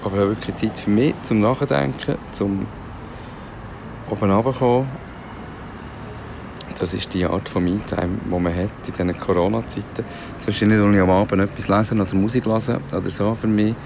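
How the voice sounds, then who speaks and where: A Swiss German man talks in a slightly low voice. His delivery is casual and reflective, like an unscripted audio diary. Ambient noise is plainly audible behind him. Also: fairly even intonation, brisk, creaky. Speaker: podcast host and parent.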